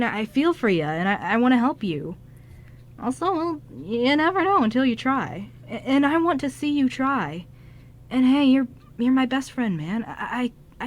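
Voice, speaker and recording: Woman playing a halting, earnest young male ghost. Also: on the high side. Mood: encouraging, sincere. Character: kind, nervous young man ghost, earnest best friend. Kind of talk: amateur radio drama script reading.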